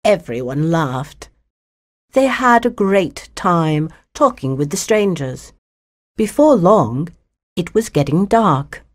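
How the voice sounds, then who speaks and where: A woman narrating a children's story from a script, in an expressive storybook manner. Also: lowish voice, slow.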